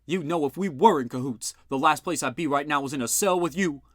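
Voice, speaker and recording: A man voicing a gruff, blustering older male inventor who talks loud and boastful. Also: fairly high pitch, brisk, dry recording, studio quality, voice pushed up, lightly acted.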